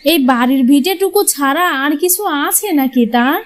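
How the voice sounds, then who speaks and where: Woman doing bitter older co-wife in a cartoon, high voice, grumbly, self-pitying, dismissive. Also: moderate pace, close to an everyday voice. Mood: bitter, grumbling. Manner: complaining, dismissive. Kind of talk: Bengali moral-tale cartoon dubbing.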